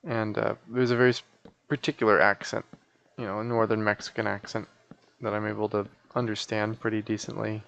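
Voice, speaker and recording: A man's voice: fairly low pitch, level tone, fairly quick, creaky, a bit bright. A sleepy man chatting casually and in a rambling, folksy way as he live-streams a game.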